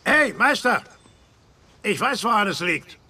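A man voicing a rough, blunt mechanic on a lonely road in a German screen dub, loud and gravelly. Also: sits high, very animated, unhurried, exaggerated voice. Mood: eager. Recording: music behind the voice.

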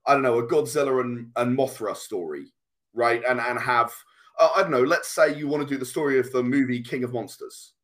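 A man with a mid-pitched voice talks as a guest in a casual interview. He is a game designer who explains things at length and with enthusiasm. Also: a little fast.

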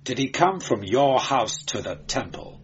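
A man's voice: medium pitch, big pitch swings, measured pace, muffled recording, slight background noise. A man voicing a stern, weary inquisitor who questions people brusquely in an audiobook story. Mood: probing. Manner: snapping, brusque.

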